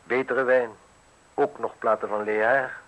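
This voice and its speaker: A man's voice: medium pitch, steady tone, unhurried, a bit bright, lightly acted, poor audio. An actor in a radio play voicing a suspicious, wealthy man who questions tersely and with dry irony. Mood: sardonic, probing. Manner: terse, probing, drily ironic. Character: suspicious, curt, wealthy male villa owner, coolly sardonic. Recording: hissy old radio play recording.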